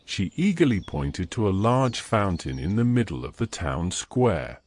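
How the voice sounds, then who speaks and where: A man's voice: low voice, sing-song, a little slow, darkish tone. A man reading scripted narration for a fantasy story as its narrator.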